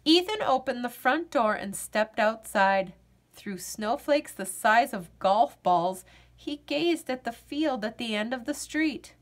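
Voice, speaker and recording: A woman reading a children's picture book aloud in an expressive storytelling manner. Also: fairly high pitch, takes it slowly.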